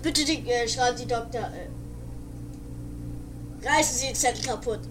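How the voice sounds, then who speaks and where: A woman's voice: a little high, moderate pace, distant mic, noisy background, natural voice. A woman playing a distracted, flustered police inspector in a comedic radio play, stammering, apologetic and officious. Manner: stammering, hesitant.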